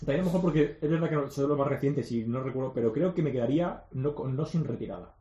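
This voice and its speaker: A man leading a relaxed podcast among friends, rambling a little as he steers the talk. He speaks quickly, and the room around him rings noticeably. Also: mid-range voice, roomy sound, muffled recording, slight background noise. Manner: guiding the talk, hesitant and rambling. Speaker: podcast host. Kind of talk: casual chat among friends.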